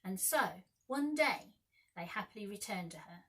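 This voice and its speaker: A woman narrating a children's storybook aloud with expressive, deliberate dramatic phrasing. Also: medium pitch, poor audio. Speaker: primary school teacher reading aloud.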